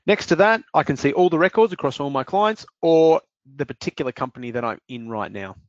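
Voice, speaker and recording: A man demonstrating invoice software step by step in a screen-recorded walkthrough, explaining calmly with the odd "uh" and "um". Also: on the high side, a little fast, dry recording. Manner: explanatory, steady, with fillers. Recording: dry, dull lo-fi voice-over.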